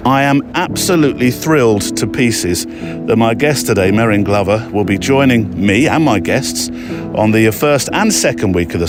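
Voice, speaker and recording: A man hosting a podcast in an enthusiastic, conversational way, with wordy, gently tentative phrasing. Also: medium pitch. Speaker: podcast host and interviewer.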